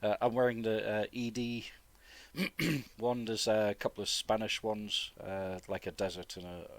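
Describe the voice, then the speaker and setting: A man, chesty with a cold, giving relaxed, informal and rambling flight simulator commentary as a video host. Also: fairly low pitch, measured pace, no room sound. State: getting over flu, chesty cough. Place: dry close-miked voice-over indoors.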